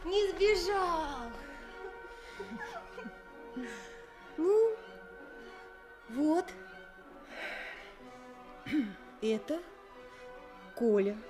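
An actress playing a lively, teasing young fiancee in a radio play, coaxing and insisting. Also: female voice, extremely high pitch, very slow, full character voice. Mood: cheerful. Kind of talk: 1980s Soviet radio play acting. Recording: theatrical radio play with music behind.

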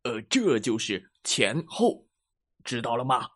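Man doing a grumpy bear teacher, children's storybook, exasperated, sighing, slow lecturing delivery. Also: medium pitch, big pitch swings, slightly rough, full character voice.

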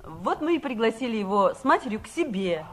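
Motherly woman, high voice. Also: sing-song, brisk, a little hiss or hum. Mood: kindly, gracious. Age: middle-aged. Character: kindly, motherly hostess, gently scolding the young. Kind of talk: 1960s Soviet radio play acting. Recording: 1967 radio play, background voices.

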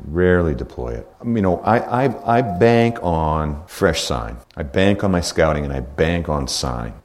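A man in his sixties from New Hampshire with a low voice, giving a casual seminar talk and answering questions in a folksy, rambling way. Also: a little mellow. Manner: folksy, rambling, repeats words and restarts. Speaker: hunting seminar speaker.

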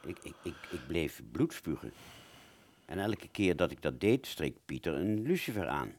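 Acteur als hese, haperende oorlogspiloot, lage stem. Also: male voice, deep voice, big pitch swings, a little mellow, exaggerated voice. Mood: weary, remembering. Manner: halting, stammering, repeats words. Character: wounded British fighter pilot recalling his crash, haunted. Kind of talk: first-person dramatic narration in a radio play.

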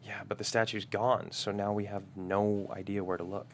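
A man's voice: on the low side, level tone, normal speed, lightly acted. A man playing a reserved, unassuming teenage boy in a script table read. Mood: unsure.

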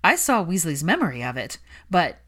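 A woman's voice: sits low, huge pitch swings, normal speed, a bit bright, full character voice. A woman voicing a bold, sly, teasing young witch in a story reading, pushy and unapologetic.

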